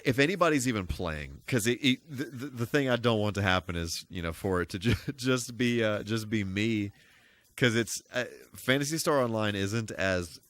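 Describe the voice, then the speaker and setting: A man streaming a game and chatting casually, thinking aloud in a rambling, halting way with folksy, mild exclamations. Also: fairly low pitch, animated, fairly bright tone, no room sound. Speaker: live streamer, host. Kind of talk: casual gameplay live stream chat.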